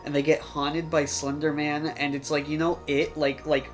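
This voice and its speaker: A man's voice: fairly high pitch, level tone, normal speed. Casual rambling man over music. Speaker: podcast co-host. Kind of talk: casual chat.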